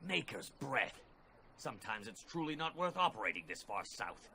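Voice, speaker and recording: A man's voice: mid-range voice, big pitch swings, normal speed, creaky, sharp tone, a little hiss or hum, exaggerated voice. A man playing a greedy, irritable merchant in a video game, blustering and indignant as he haggles. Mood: indignant, irritated. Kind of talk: video game cutscene acting.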